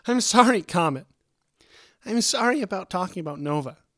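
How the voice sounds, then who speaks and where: A man voicing a timid young female bat pony, remorseful, nervous and halting, close to tears. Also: sits high, animated, pushed and bright, no echo, pitched above the performer's own voice. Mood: tearful, apologetic.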